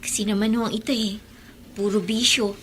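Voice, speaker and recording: An actress with a Filipino accent playing a loyal young daughter with a bitter streak in a radio drama, earnest and aggrieved. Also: female voice, medium pitch, steady tone, brisk, fairly bright tone, slightly rough.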